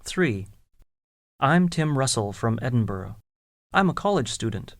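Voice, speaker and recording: Male textbook narrator, clear diction, dry close studio sound. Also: mid-range voice, unhurried, dry recording. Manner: even, clearly enunciated. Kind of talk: scripted textbook listening exercise reading.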